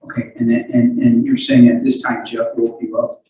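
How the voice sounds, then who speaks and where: A man's voice: mid-range voice, little pitch movement, normal speed, mellow, slight background noise. A man cross-examining a witness at a court hearing, careful but persistent, often repeating the start of his questions. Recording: very echoey room, distant mic.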